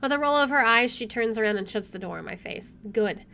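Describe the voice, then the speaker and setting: A woman's voice: a little high, animated, fairly quick, creaky, sharp tone, a little hiss or hum. A woman let's player reading a visual novel aloud expressively with chatty asides, game music playing behind her.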